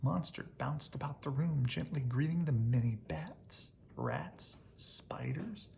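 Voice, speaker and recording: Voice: medium pitch, takes it slowly, creaky, a little mellow, a little hiss or hum. A storybook reader narrating expressively aloud for children, as if at bedtime.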